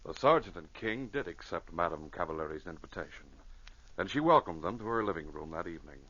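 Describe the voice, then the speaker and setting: An actor as the storyteller of an old-time radio adventure, delivering scripted narration in a forceful way. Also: male voice, deep voice, expressive, fairly quick, slightly rough, exaggerated voice. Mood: narrating. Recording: muffled old radio show recording.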